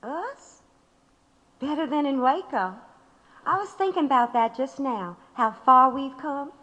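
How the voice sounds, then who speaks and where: An actress playing an affectionate, teasing young wife in an audio drama. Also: female voice, sits high, measured pace, a bit bright, highs cut off. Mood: fond, teasing. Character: tender, teasing young wife of a labor organizer.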